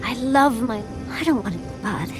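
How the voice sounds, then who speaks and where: An actress playing a headstrong, self-willed and affectionate woman in a fantasy game, with theatrical, expressive delivery. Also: a little high, normal speed, over music, lightly acted. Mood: flustered. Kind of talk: video game dialogue acting.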